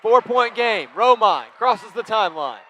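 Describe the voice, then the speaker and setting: A man calling high school basketball play by play, quick and excitable on big plays. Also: slight background noise. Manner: rapid, excitable calls rising on big plays. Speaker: play-by-play announcer.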